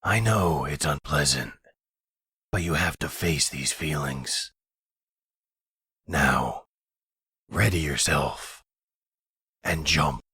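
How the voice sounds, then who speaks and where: A man voicing a calm, fatherly werewolf pack leader and researcher in a roleplay audio drama, with a measured, formal, soothing way of guiding. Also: very deep voice, husky, dry recording, exaggerated voice.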